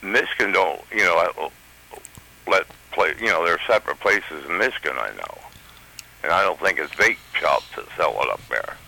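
A man with a very low voice and a very sharp, bright edge calls in to a talk radio show. He speaks plainly, with casual, everyday grammar, and takes his time making his point. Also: expressive, normal speed, creaky, poor audio. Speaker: caller. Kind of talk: call-in radio conversation.

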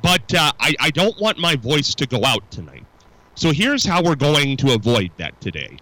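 Chatty, bright-voiced radio host. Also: male voice, on the high side, sing-song, moderate pace, dry recording, rough recording. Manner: chatty, rambling, with restarts. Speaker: radio talk show host. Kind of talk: casual radio talk.

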